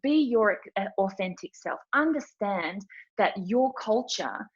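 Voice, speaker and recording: A woman's voice: mid-range voice, expressive, measured pace, slightly rough. An Australian woman giving a chatty, informal webinar presentation, telling stories with lots of fillers. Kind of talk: casual presentation talk. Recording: dull lo-fi webinar audio.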